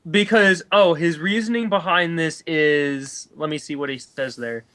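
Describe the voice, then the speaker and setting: A man chatting casually and debating films on a voice call, with an animated, exasperated way of reacting. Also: fairly high pitch, a little slow. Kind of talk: casual chat, film debate on a group voice call.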